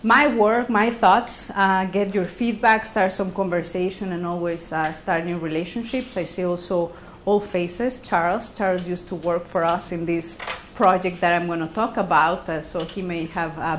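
Woman professor, halting lecture delivery, echoey classroom with slight hiss. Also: on the low side, fairly even intonation. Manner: halting, repeats words, laughs easily. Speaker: guest speaker, professor. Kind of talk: academic lecture. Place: university classroom.

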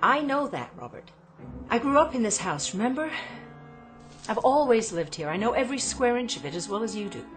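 An actress playing an inventor's restless adult daughter in a radio drama, questioning insistently. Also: female voice, fairly high pitch, big pitch swings, brisk. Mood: irritated. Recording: music under the dialogue.

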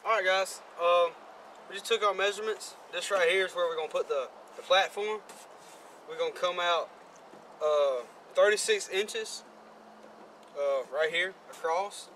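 Young male co-host, bright and slightly high, halting plain delivery, camera mic outdoors. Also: slight background noise. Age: young adult. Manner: plain, hesitant, halting with uh's. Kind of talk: casual how-to vlog. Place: outdoors in a yard and an open carport.

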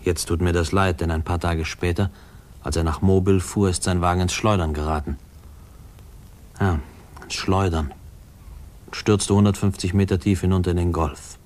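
An actor playing a grown man wistfully recalling his orphaned boyhood, reflective and measured, in a 1950s radio play narration. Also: deep voice, steady tone, darkish tone, lightly acted.

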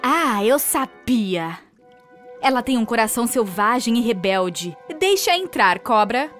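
A scheming sea witch from a children's cartoon drags out her words in a slow, gloating way and cackles, as a woman puts her on. The voice sits a bit higher than usual, over clear background music. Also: sing-song, a bit bright. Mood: sly.

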